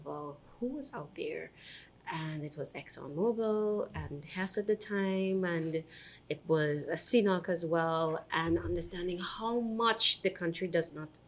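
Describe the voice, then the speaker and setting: Woman co-host, deep low voice, earnest engaged questions. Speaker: podcast co-host. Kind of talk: podcast interview hosting.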